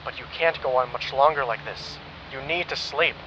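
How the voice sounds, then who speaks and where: A man playing a calm, concerned ally on a dull line. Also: medium pitch, moderate pace, natural voice. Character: calm male ally in hiding, protective. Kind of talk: audio drama acting. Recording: dull, noisy, lo-fi recording.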